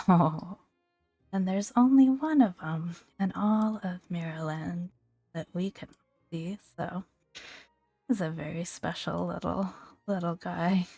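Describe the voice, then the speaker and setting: A woman hosting a soft-spoken ASMR gaming video, talking gently and fondly in a hushed voice that often slips toward a whisper. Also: fairly low pitch, slow, vocal fry, pauses often, no room sound, soft background music. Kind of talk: soft-spoken ASMR, relaxed commentary and read-aloud entries.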